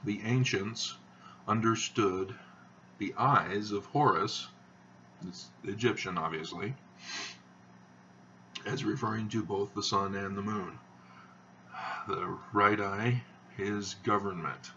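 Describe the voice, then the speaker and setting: A man hosting his own video channel, talking in a slow, halting monotone with many fillers as he rambles. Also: on the low side, distant mic, muffled recording, a little hiss or hum. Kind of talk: rambling monologue to camera.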